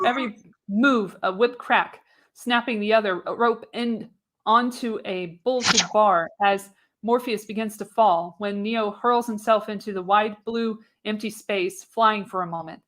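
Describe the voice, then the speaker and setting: A woman reads stage and action directions as the narrator of a radio play. Her voice sits at a medium pitch, and her delivery is dramatic and scripted, with an occasional stumble over a word. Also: unhurried, a bit bright, low-quality recording. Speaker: narrator, show host. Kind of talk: reading screenplay directions for live radio play.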